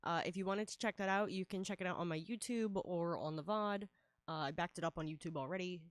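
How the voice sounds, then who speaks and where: A woman's voice: fairly low pitch, fast talker, dry recording, clean studio sound. A woman livestreaming her art, talking casually with a nasal head cold and lots of fillers. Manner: chatty, laughs often, many fillers. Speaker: livestreaming artist. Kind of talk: casual streaming chat while drawing.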